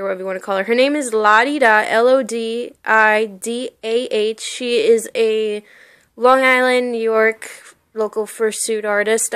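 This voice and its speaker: A woman's voice: medium pitch, fairly bright tone, clean tone. A young woman showing off a costume for sale in her bedroom, talking casually and gushing with lots of "like" and "really, really". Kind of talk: casual show-and-tell vlog. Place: bedroom, indoors.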